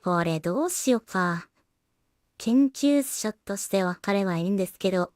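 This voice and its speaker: A woman's voice: mid-range voice, animated, normal speed, darkish tone, dry recording, studio quality. A woman casually talking to herself as she works, often mumbling her thoughts aloud as a solo creator on a stream. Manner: mumbling, thinking aloud while working. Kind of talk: casual work-along commentary, talking to herself.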